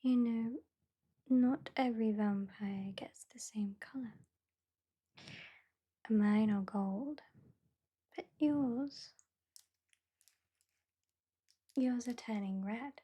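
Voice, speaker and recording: Woman doing a british vampire hypnotist for asmr, soft n breathy, super slow, bit of an attitude. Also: a little high. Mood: hypnotic, commanding. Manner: slow, deliberate, lightly dismissive. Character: aloof, sardonic female vampire hypnotist. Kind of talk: whispered ASMR roleplay.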